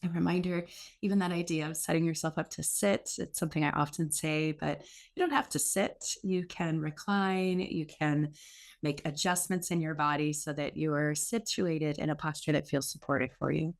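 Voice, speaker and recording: A woman teaching guided meditation in an unhurried, reassuring, gently instructive way with pauses. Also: low voice, fairly even intonation.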